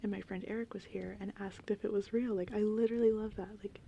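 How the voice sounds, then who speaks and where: Woman, mellow soft voice, breathy and often whispery, casual ASMR rambling, informal, gentle rain and thunder behind. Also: medium pitch, fast, slight background noise. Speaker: ASMR host. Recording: steady rain and thunder ambience. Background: gentle rain and thunderstorm sounds.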